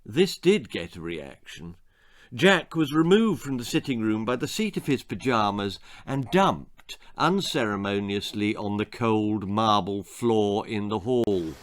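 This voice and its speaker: A man reading his own children's story as an expressive, theatrical narrator with deliberate phrasing. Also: on the low side.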